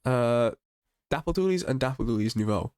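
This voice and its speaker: A man with a croaky voice from being a little sick, casually reviewing game patch notes with upbeat enthusiasm and frequent restarts. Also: mid-range voice, animated, moderate pace, darkish tone. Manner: chatty and enthusiastic, with ums and restarts. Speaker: gaming channel host.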